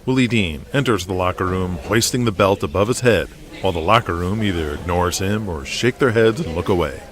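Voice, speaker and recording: A man's voice: deep voice, a little fast. A man narrating a scripted audio drama. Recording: loud noise behind the voice.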